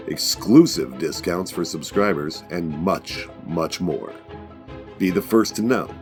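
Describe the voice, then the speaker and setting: A man with a deep voice delivers a scripted promo voice-over. Faint music plays underneath his announcement.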